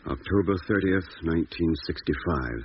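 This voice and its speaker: A man's voice: low voice, lively intonation, music in the background. A man acting in a 1950s radio drama as an earnest ship's doctor and diarist on a space expedition. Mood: grave, foreboding. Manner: measured, grave diary narration and earnest dialogue. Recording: muffled, hissy 1950s radio recording.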